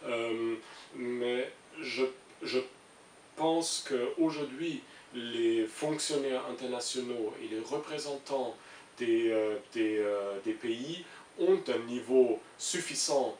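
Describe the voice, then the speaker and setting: A middle-aged man with a German accent explains his views in an interview, pausing and repeating himself as he looks for words. His pitch is average for a man. He sounds set back from the microphone in a roomy space, with a faint hiss. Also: darkish tone, distant mic. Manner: hesitant, searching for words with frequent repetitions. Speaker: international civil servant being interviewed. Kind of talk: interview answers, explaining an opinion.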